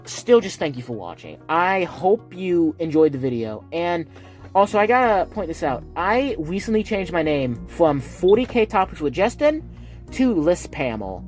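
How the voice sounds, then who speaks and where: A man's voice: high voice, darkish tone. Southern guy, heavy lisp and speech impediment, rambling casual vlog talk, phone-recorded over faint music. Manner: rambling, earnest, with fillers. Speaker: YouTuber. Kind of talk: casual chat to viewers.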